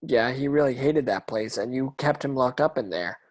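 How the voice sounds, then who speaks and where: A man's voice: mid-range voice, moderate pace, lightly acted. A man playing a troubled, distrustful teenage boy wizard in a script read-through, in a plain, questioning manner. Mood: resentful.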